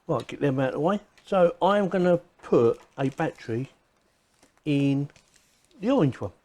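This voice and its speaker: A man's voice: on the high side, lively intonation, normal speed, mellow. A man presenting a casual hands-on gadget unboxing, chatting informally and thinking aloud as he goes. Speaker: video presenter reviewing gadgets.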